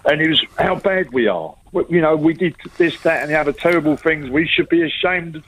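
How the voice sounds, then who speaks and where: A man's voice: a little high, brisk, a bit bright, slight background noise, poor audio. A man in his fifties with a North West London accent, calling a radio phone-in and talking bluntly and emphatically. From England. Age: 50s. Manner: blunt, emphatic working-class talk. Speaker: caller.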